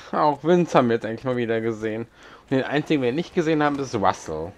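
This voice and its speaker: A man's voice: medium pitch, expressive, fast, a little hiss or hum. A man giving casual Let's Play commentary on a video game.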